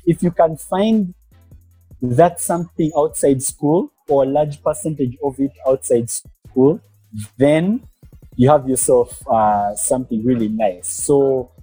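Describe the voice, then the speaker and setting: A young man from Uganda, a guest entrepreneur, talking in a podcast interview with hesitant pauses and restarts. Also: medium pitch, slightly rough, dry recording, low-quality recording. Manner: hesitant, many fillers and restarts, soft-spoken.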